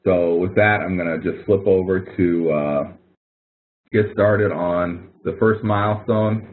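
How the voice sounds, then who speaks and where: Low-voiced man on a tinny call. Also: slow pace. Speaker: instructor running a help webinar. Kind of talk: informal webinar walkthrough, explaining to students. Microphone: online webinar audio connection. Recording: narrow, tinny online-call audio.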